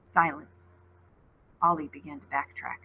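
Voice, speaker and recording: A woman teacher reads a novel aloud with a bright, forward tone and a husky, breathy edge. Her delivery is expressive, lifting sharply in pitch whenever a character calls out. It has the feel of a classroom storytime reading. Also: a little slow, low-quality recording. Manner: expressive read-aloud, voices rising high on called names.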